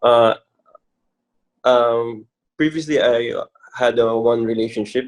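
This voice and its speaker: A man in his late twenties from the Philippines chatting as a guest, shy and halting. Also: medium pitch, fairly even intonation. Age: 20s. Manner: shy, halting, laughs often. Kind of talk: casual chat.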